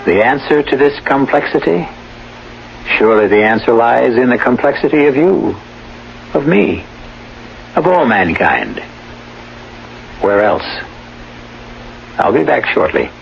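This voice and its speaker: Slow, gravelly male radio host. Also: mid-range voice, expressive, a little hiss or hum. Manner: musing, dramatic pauses. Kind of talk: scripted theatrical philosophical narration. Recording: dull old radio recording. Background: music.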